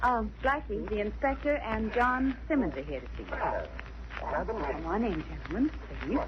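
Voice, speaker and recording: An actress playing a poised, protective sweetheart, polite and gently firm, in 1940s radio style. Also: female voice, medium pitch, lively intonation, normal speed, a loud crowd, close to an everyday voice. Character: poised, protective sweetheart nursing a sick sleuth. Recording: dull, hissy old radio recording.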